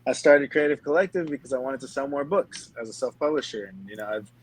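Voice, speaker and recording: A man's voice: medium pitch, expressive, quick pace, poor audio. A man in his twenties telling a personal story casually as a podcast co-host, peppering it with filler phrases. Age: 20s.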